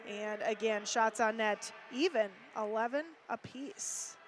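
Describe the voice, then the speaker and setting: A woman's voice: fairly high pitch, unhurried, slight background noise. A woman with a Minnesotan accent doing casual hockey commentary, chatty and reading stats with fillers. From Minnesota, USA. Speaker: hockey broadcaster. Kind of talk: casual live-stream commentary.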